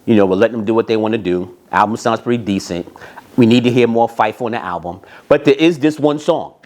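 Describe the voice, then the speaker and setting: A man's voice: on the low side, brisk, a little mellow. A middle-aged American man telling stories from his career in a casual, emphatic way, repeating phrases for emphasis. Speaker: host, former record label A&R representative. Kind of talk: casual autobiographical storytelling.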